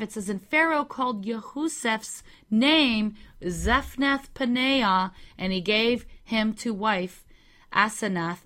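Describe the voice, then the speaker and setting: A woman giving a slow, measured religious teaching, carefully spelling out the meanings of words. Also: mid-range voice, fairly bright tone. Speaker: Bible teacher.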